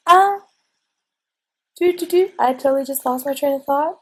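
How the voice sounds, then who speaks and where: A woman's voice: high-pitched, moderate pace. A young woman getting over a cold, sniffly, rambling casually with many ums as she narrates her gameplay at home. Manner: rambling, chatty, frequent ums and restarts. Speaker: let's play gamer. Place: at home at a desk.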